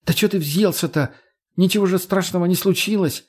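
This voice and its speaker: Man acting brash young woman, raised husky voice, crude slang, mocking, lively, audiobook character. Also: high voice, brisk, voice pushed up. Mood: teasing. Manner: crude, slangy, loudly expressive.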